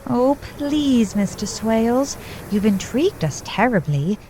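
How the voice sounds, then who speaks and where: An actress playing a genteel, romantic young bride-to-be in a radio play, with gushing, proper period diction. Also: female voice, a little high, animated, measured pace, dark tone, slight background noise. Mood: eager, curious.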